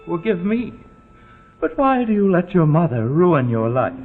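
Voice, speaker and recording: A tinny old film soundtrack with music behind it carries an unstable, menacing killer. His high voice swings widely as he sneers, taunts and gives orders. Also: big pitch swings, a little slow. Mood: weary, brooding. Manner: sneering, commanding, swings from quiet to outbursts. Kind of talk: vintage screen melodrama acting.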